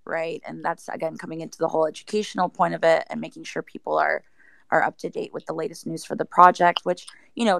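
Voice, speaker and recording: A woman's voice: lowish voice, gravelly, dry recording. A woman in a casual panel chat, explaining things conversationally with plenty of "like" and "you know". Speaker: project team member, guest.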